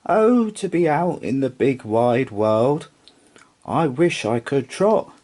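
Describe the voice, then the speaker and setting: A man's voice: medium pitch, animated, slow pace, darkish tone, voice pushed up. A man voicing a wistful, eager toy rocking horse in a children's storybook, with an earnest singsong delivery. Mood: wistful longing.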